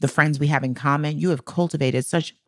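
A woman with a lowish voice, recorded close and dry, talks as a podcast co-host. She is candid and emphatic, chatting casually and bluntly with salty turns of phrase. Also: steady tone, brisk, a bit bright, dry recording.

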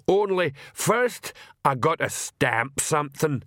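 A man doing a slow, dim-witted troll. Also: fairly high pitch, animated, fairly bright tone, no echo, clean studio sound, pitched above the performer's own voice. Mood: earnest simple. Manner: slow, simple, earnest. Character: dim, eager male troll border guard.

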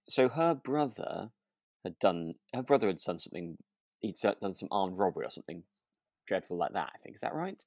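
A man's voice: low voice, expressive, moderate pace, a little mellow, no echo, clean studio sound. A British man co-hosting a podcast in casual chat, with a hesitant, apologetic, rambling way of talking. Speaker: podcast co-host.

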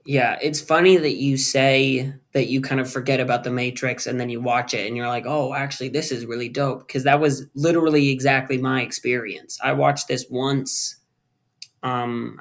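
A man in his twenties hosting a podcast, chatting and teasing his co-host about a film. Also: medium pitch. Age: 20s. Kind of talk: casual movie review chat.

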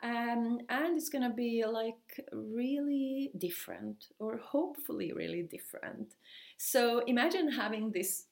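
Swedish-accented woman with a gravelly voice. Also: a little high, a little slow. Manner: chatty and excited, many fillers and restarts. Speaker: podcast host. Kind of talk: solo podcast intro, casual monologue.

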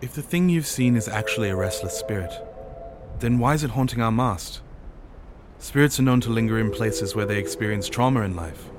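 A man's voice: deep voice, level tone, moderate pace, background noise, close to an everyday voice. A man voicing a nervous, skeptical young landsman with bookish, formal speech in a storybook reading. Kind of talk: audiobook character dialogue.

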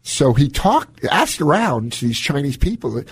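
A husky-voiced man co-hosting a health radio show, chatting and telling anecdotes in an animated, folksy way. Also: fairly high pitch, a little slow, no echo. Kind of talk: casual talk and anecdotes. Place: radio studio.

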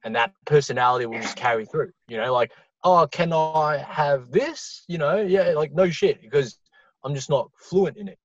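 A man's voice: a little high, moderate pace, dry recording. A man on a casual podcast making his point bluntly and matter-of-factly, with casual swearing. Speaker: podcast co-host. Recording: dry, dull lo-fi sound.

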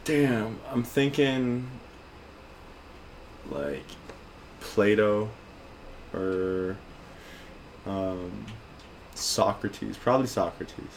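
A young man in his twenties with a deep, low voice chats on a podcast. He rambles easily, filling pauses with ums and likes. A faint ambient hiss sits under the recording. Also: expressive, poor audio. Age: 20s. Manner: laid-back, rambling with many fillers. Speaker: podcast co-host. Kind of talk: casual chat.

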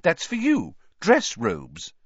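A man's voice: extremely high pitch, huge pitch swings, takes it slowly, vocal fry, breathy edge, dry recording, dull audio, pitched above the performer's own voice, full character voice. A man reading aloud, voicing a fussing, cross middle-aged mother in a raised voice. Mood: scolding. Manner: sharp, scolding, motherly.

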